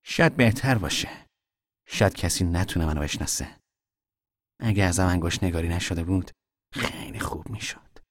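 A man's voice: low-pitched, long pauses, dry recording, clean studio sound. A man playing a stubborn young fugitive. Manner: earnest, quietly stubborn. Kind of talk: audio drama acting.

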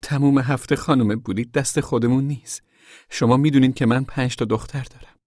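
A man's voice: mid-range voice, fairly even intonation, darkish tone, no room sound, studio quality, voice pushed up, lightly acted. A man voicing a small, timid, ashamed mother in a story, pleading in a meek, whining way.

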